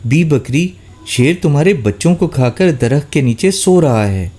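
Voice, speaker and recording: A man's voice: on the high side, animated, dark tone, pitched above the performer's own voice. A man voicing a small, helpful sparrow in a children's story.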